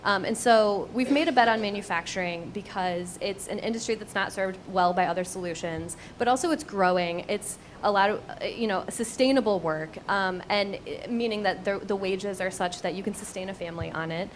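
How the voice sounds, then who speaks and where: A woman's voice: mid-range voice, brisk, hardly any pauses, a little hiss or hum. Confident female startup founder. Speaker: company co-founder and panelist. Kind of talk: panel discussion answers.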